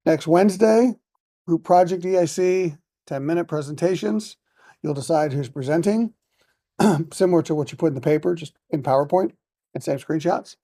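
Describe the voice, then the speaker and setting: A middle-aged male professor giving brisk classroom announcements and checking in with quick tag questions, in a lecture room. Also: on the high side, warm tone.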